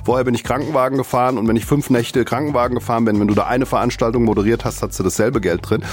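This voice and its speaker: A man's voice: medium pitch, steady tone, racing. A man in his fifties, a comedian guest, telling anecdotes in a casual radio interview and acting out quoted lines. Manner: anecdotal storyteller, jokey, acts out quotes. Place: radio studio.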